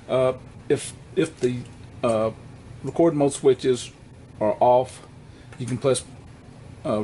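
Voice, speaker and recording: A man presenting an unscripted hands-on equipment walkthrough in a plainspoken, halting way, correcting himself as he goes. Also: mid-range voice, a little mellow, a little hiss or hum.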